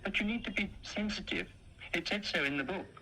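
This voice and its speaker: A man voicing an eager, excitable young male dog who stammers when excited, in a high chirpy voice, for a stop-motion cartoon. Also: brisk, slight background noise.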